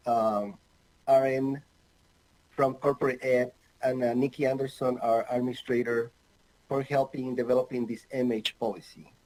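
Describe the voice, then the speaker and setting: Mellow-voiced man from Ecuador lecturing. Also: mid-range voice, poor audio. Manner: halting, many fillers, light humor. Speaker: anesthesiologist presenter. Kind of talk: recorded online medical lecture. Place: home office with bookshelves.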